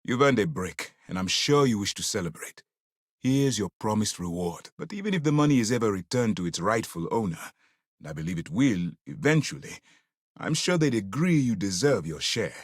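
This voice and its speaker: Deep-voiced, commanding space-navy officer. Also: male voice, very animated, dry recording, studio quality. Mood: composed. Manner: formal, authoritative, measured military diction. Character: stern, principled male space-navy commander, grave and commanding. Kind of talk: scripted video game character lines.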